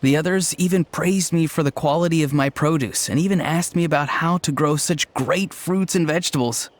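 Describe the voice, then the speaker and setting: An actor playing an earnest, odd young tribesman in a video game, deadpan and matter-of-fact. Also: fairly high pitch, fairly quick, natural voice. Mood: sincere.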